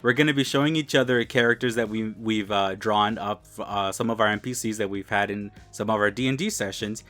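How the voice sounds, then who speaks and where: A man's voice: fairly low pitch, a little fast, few pauses, a bit bright, faint music behind. A man hosting a casual chat, easygoing and self-deprecating, chuckling between remarks. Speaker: channel host.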